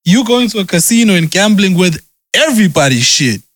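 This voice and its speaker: A man's voice: high voice, very animated, fairly quick, fairly bright tone, no room sound. A man in casual chat who speaks bluntly with heavy profanity and presses with direct questions. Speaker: conversation partner.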